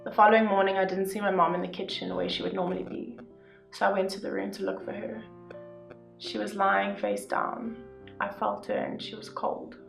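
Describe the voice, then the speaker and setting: A young woman reading a first-person story aloud in a steady, even way. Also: mid-range voice, normal speed, vocal fry. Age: young adult. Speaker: youth reader. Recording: echoey room with soft guitar music.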